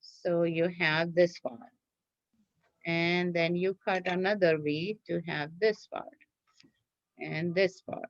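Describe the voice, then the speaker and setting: A woman's voice: lowish voice, steady tone, takes it slowly, pauses often, no room sound, highs cut off. A woman teacher giving step-by-step craft instructions to children in a patient, repetitive way, often checking that they follow.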